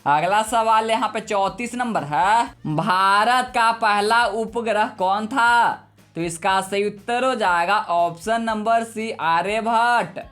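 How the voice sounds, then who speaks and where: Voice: mid-range voice, a bit bright. A quiz teacher reading exam questions and answers in a methodical, repetitive, scripted way. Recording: music bed behind the voice. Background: background music.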